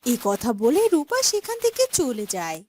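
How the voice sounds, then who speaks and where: A woman's voice: on the high side, wildly swooping pitch, unhurried, noisy background. A woman narrating a scripted cartoon folk story. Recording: background music under the voice.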